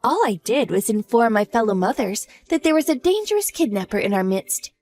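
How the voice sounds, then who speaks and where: A woman playing a cold, scheming wife who mocks her husband's lover in a slow, sneering way. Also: fairly high pitch, sing-song, a little mellow, no room sound, soft background music. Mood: mocking. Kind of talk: audio drama acting.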